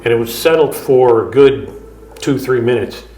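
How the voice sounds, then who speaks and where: A man's voice: mid-range voice, normal speed, mellow. A man, a paranormal investigator, earnestly recounting strange experiences in an interview. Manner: earnest, matter-of-fact storytelling. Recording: echoey room.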